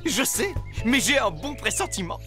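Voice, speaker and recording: An actor playing a fussy, optimistic, sugary suburban husband in a cartoon. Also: male voice, extremely high pitch, very animated, moderate pace. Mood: confident, sweet. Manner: exaggerated, sugary. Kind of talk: cartoon dub acting. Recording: music under the voice.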